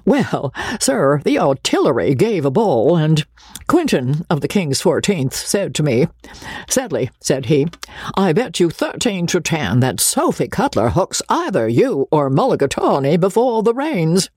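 A woman's voice: low voice, big pitch swings, a little slow, a little mellow, breathy edge, no room sound, clean studio sound, voice pushed up, heavily acted. A woman voicing a vain, blustering young man who rambles boastfully, with mouthfuls and chuckles.

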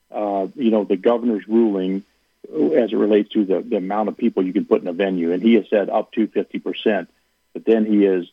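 A man's voice: medium pitch, husky, no echo. A man who is a university athletic director, a guest on a radio show, explaining things at length with many fillers and restarts. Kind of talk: radio interview over the phone. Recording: tinny phone line.

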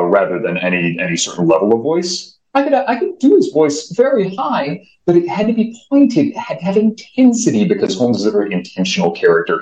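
A young man with a deep voice, an actor explaining his craft in a measured, emphatic way, recorded in an echoey room. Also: darkish tone. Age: young adult. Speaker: voice actor being interviewed. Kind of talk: explaining in an interview.

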